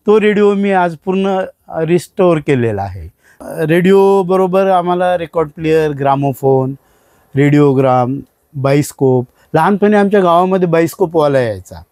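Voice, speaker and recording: A man's voice: on the high side, expressive, moderate pace, darkish tone, no room sound. A man talks informally as a collector, explaining his items in short phrases. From India. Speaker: radio collector being interviewed.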